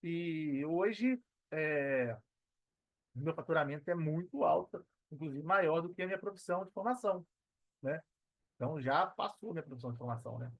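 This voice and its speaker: A man's voice: a little high, lively intonation, moderate pace, no echo, muffled recording. A Brazilian man in his thirties talking casually as a podcast guest, conversational and often checking for agreement with slangy tags. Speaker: podcast guest, personal trainer and affiliate marketer. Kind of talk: casual podcast interview talk.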